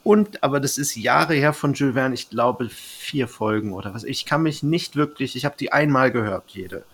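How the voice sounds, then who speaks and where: Male podcast host, mid-pitched with heavy vocal fry, casual moderating chat. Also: moderate pace, fairly bright tone.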